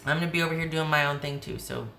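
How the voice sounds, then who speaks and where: Hoarse, low-voiced woman reading tarot. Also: steady tone, rapid-fire, sharp tone, roomy sound, a little hiss or hum. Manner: chatty, rambling, frequent restarts. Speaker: tarot reader.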